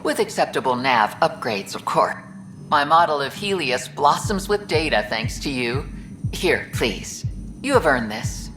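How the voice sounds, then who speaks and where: An actress playing a courteous, formal researcher with measured phrasing in game dialogue. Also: female voice, a bass voice, big pitch swings, over music, exaggerated voice. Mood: gracious. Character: courteous, formal female researcher trading for data.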